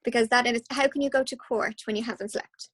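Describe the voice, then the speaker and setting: An Irish woman speaking as a guest hypnotherapist, passionate and animated, with quick restarts. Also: on the high side. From Ireland. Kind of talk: casual interview talk.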